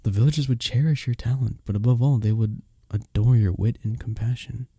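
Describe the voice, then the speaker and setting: A man playing a courteous, smitten young village innkeeper in an intimate romantic fantasy roleplay, speaking softly and breathily with formal, bashful phrasing. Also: medium pitch, fairly even intonation, brisk, breathy edge, no echo, clean studio sound. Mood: earnest, admiring.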